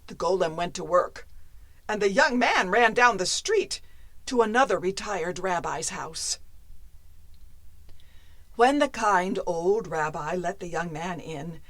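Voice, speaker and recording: A woman narrating a folktale as a storyteller, with expressive, dramatic phrasing. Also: on the low side, slow pace, long pauses, no echo.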